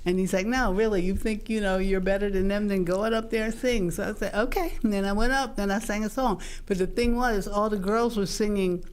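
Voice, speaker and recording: A woman from Philadelphia with an American accent, co-hosting a talk show and telling anecdotes casually, acting out the dialogue. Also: medium pitch. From Philadelphia, United States. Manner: animated storytelling, acting out quoted dialogue.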